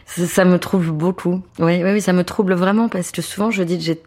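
Woman, 50s, low voice, hesitant, reflective, home interview. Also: steady tone, a little fast, a little mellow. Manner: hesitant, thoughtful, repeats words when starting. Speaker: guest, translator and author. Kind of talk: relaxed conversational interview. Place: apartment living room, seated on a sofa.

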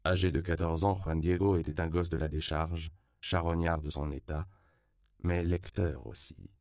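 Male audiobook narrator, very low and gravelly, dark mellow tone, dry close recording. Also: no echo. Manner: calm, measured reading.

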